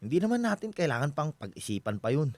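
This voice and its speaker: A trusting but stubborn husband in a Filipino radio drama. He talks casually and persuasively in a slightly high male voice with big swings of intonation, recorded close and dry. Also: very animated, fast, dry recording. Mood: defensive.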